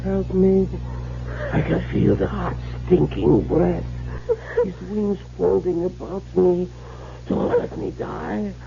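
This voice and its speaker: A man's voice: sits high, fairly even intonation, slow pace, gravelly, exaggerated voice. An actor playing a wealthy, formal man obsessed with the occult in an old-time radio drama, speaking theatrically. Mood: dying terror. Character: wealthy occult-obsessed man, urbane, later dying and terrified. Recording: muffled, noisy old radio broadcast.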